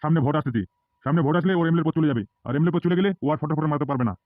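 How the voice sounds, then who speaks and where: A man's voice: a little high, very fast, no echo, close to an everyday voice. A man voicing a worried, grumbling village neighbour in an amateur cartoon, with a tinny phone-like sound.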